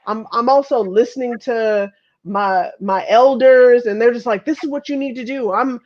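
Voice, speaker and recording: A woman's voice: a little high, moderate pace, a little mellow. An American woman in her thirties from Chicago, chatting casually and frankly with some swearing. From Chicago, United States. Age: 30s. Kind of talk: casual chat, personal reflection. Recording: dull lo-fi audio.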